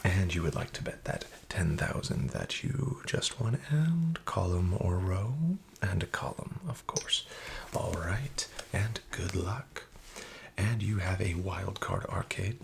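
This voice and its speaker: A man plays a sketchy casino dealer who is smug and looks down on the player. He speaks softly and half-whispers in a very deep, gravelly voice, politely but slyly. It is recorded close to the mic with clear background noise. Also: lively intonation, slow pace, heavily acted. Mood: smarmy. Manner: soft, half-whispered, smarmy and falsely polite. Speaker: ASMR roleplayer. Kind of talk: soft-spoken ASMR roleplay.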